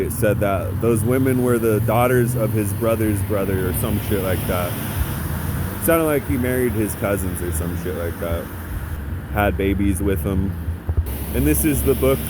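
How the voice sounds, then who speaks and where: Man, low-pitched, blunt, profane, sarcastic, outdoor recording, urban traffic noise. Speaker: vlogger. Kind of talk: profane ranting monologue.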